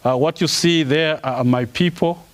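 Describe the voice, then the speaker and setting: A man in his forties from Zambia, giving a formal academic presentation as a professor. He pauses often on "uh" and restarts his phrases. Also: a little high. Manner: halting, with frequent "uh" pauses and restarts. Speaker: professor giving an inaugural lecture.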